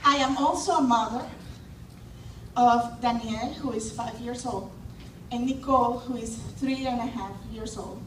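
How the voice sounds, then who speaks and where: A woman's voice: a little high, measured pace. A woman in her thirties from El Salvador giving a hesitant public testimony, with many fillers and restarts. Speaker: speaker at a community event. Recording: echoey hall, far mic, noisy.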